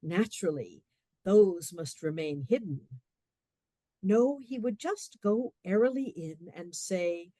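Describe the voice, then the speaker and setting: A woman's voice: fairly low pitch, lively intonation, slow, mellow, no room sound. A woman reading scripted story narration as the narrator of a radio play.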